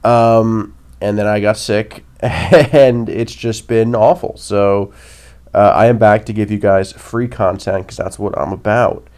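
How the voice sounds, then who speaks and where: A man's voice: lowish voice, steady tone, a little slow, a little mellow, smooth. A man hosting his own political podcast, sounding nasal from a cold, blunt and opinionated, with many ums and rhetorical "right?"s. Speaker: podcast host. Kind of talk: casual political commentary podcast.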